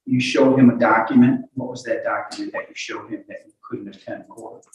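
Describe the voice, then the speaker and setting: A man working as a lawyer in a courtroom, questioning in a halting, repetitive, insistent way. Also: fairly low pitch, fairly even intonation, dull audio, a little hiss or hum. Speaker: defense lawyer questioning a witness. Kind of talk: courtroom cross-examination. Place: indoor courtroom. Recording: echoey courtroom, distant microphone.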